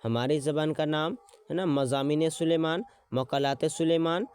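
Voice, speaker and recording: A man teaching an exam-prep lesson, repeating words slowly as he writes them out and checking in with his students as he goes. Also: fairly high pitch, steady tone, darkish tone, clear, resonant tone. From Bihar.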